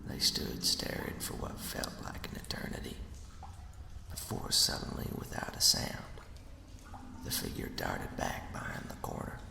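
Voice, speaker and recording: A man narrating a ghost story as ASMR in a hushed, slow, dramatic whisper with a breathy, gravelly edge. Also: rumbling low, sing-song. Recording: echoey, long cavernous reverb.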